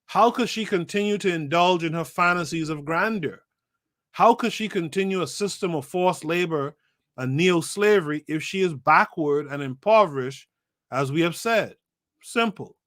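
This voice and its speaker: A man's voice: a little high, moderate pace, fairly bright tone. A man with a slightly raised voice reads from a book on his podcast livestream. He stops often to comment, repeats key phrases for emphasis and talks straight to the people watching in the chat. His voice comes through a home streaming mic. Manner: talks to a live chat audience. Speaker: podcast host. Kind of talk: reading a book aloud with live commentary.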